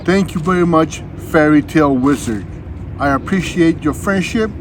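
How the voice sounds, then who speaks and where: A man's voice: fairly high pitch, normal speed, slight background noise. A man hosting a casual unboxing vlog, chatting to viewers in a folksy, slangy, laid-back way. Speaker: vlog host.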